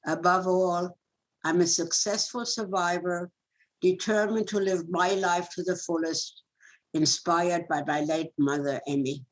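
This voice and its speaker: A woman's voice: on the low side, fairly even intonation, unhurried, poor audio. An older woman giving a first-person introduction about her own life. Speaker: Holocaust survivor introducing themselves.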